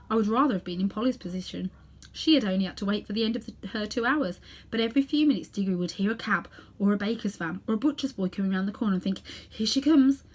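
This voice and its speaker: Husky woman reading a children's story. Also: mid-range voice. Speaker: storybook reader. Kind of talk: expressive children's story narration, read aloud.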